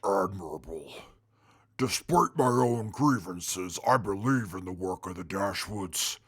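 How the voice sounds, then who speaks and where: A man playing a stern, guarded wasteland character in an audio drama, speaking formally and deadpan. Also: low-pitched, a little slow, husky, full character voice. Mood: grudging respect.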